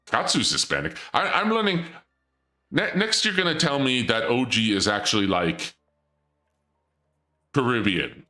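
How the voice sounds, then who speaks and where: A young man streaming and chatting casually, rambling and joking in a theatrical dark-lord persona. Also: medium pitch, sing-song, unhurried, pauses often, faint music behind.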